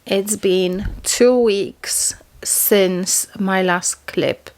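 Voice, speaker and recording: A woman's voice: medium pitch, very slow, a little mellow. A middle-aged woman, chatting to camera as a book vlogger in a rambling way with many restarts. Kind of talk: casual chat to camera.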